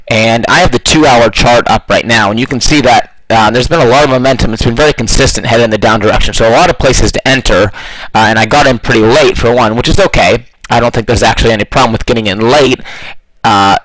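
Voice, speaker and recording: A man with a slightly hoarse voice, walking through trading charts in a loose, casual way with lots of fillers. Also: medium pitch, a bit bright, no room sound, low-quality recording. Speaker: forex trading analyst.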